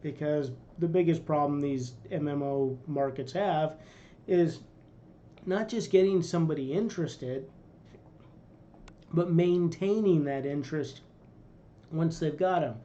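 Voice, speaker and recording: A man's voice: a little high, unhurried, pauses often, mellow, lo-fi sound. A middle-aged man casually commenting on a game trailer in a small room, rambling with fillers and restarts. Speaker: reaction video host.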